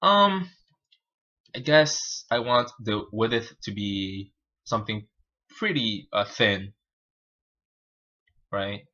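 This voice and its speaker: A native Spanish-speaking man teaches programming live, talking through each step informally and haltingly. His voice is fairly low yet bright and forceful. The livestream audio sounds somewhat muffled and lo-fi. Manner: halting, informal, self-narrating. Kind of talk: live coding tutorial, thinking aloud.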